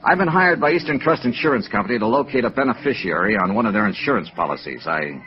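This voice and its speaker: Man playing old radio noir detective, wry and businesslike, lots of pitch swing, muffled vintage recording. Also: lively intonation, fast, lightly acted. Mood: polite, probing. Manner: clipped, matter-of-fact, dryly wry. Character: wry, businesslike male freelance insurance investigator. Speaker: lead actor and narrator of radio detective drama. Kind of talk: 1950s radio noir acting, first-person narration.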